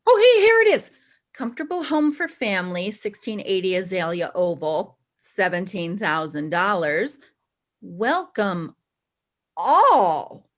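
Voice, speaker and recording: Woman acting a lively, snobbish suburban housewife. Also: medium pitch, measured pace. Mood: agitated. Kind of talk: staged play reading.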